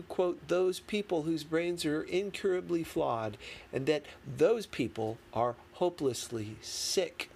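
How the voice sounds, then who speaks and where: Male narrator, higher-pitched voice, expressive scripted reading, emphatic pauses, noticeable background noise. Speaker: audiobook reader. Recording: clearly noisy background.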